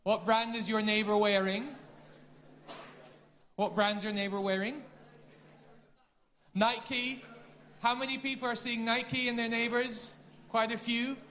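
Man, Dutch accent, high voice, conference speaker, quizzes audience. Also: slow, pauses often. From Netherlands. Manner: lively, quizzes the audience, self-deprecating asides. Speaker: business school dean. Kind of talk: conference talk with audience questions.

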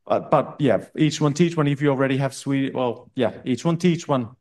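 A man's voice: medium pitch, a little fast, a little mellow. A man on university staff answering student questions in a casual, chatty way, often restarting his sentences. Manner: loose and chatty, with frequent restarts. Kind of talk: casual Q&A session. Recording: echoey room.

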